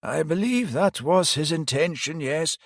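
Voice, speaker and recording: A man voicing an elderly priest who speaks gently and slowly, with sorrow, pitched well above his own voice. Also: animated, no echo, studio quality. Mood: sorrowful. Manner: soft, slow and sighing, higher than the narrator.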